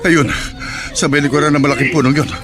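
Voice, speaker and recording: A man's voice: a little high, fairly even intonation, fast, lightly acted. A man playing a hardworking, fiercely protective provincial father in a Philippine radio drama. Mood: weary musing. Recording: dramatic music behind.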